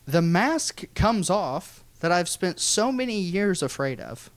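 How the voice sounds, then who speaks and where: An American man telling a funny personal story in an animated, folksy way with some swearing. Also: high voice, slow pace, dry recording. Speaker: guest storyteller.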